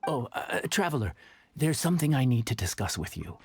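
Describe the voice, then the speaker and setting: An actor playing a humble, nervous, friendly inn cook in a video game, with a deferential, halting manner. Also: male voice, mid-range voice, big pitch swings, vocal fry, background noise.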